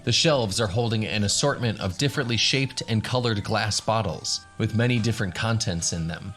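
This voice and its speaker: A man's voice: on the low side, moderate pace, fairly bright tone, soft background music. A man narrating a tabletop fantasy radio story as game master, in a scripted storytelling style.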